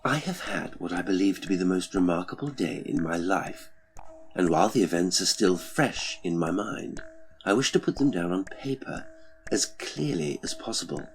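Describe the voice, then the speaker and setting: A man narrating a classic horror story in a measured, deliberate, dramatic way with weighty pauses. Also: low voice. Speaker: audiobook narrator and podcast host. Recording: music behind the voice. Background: music.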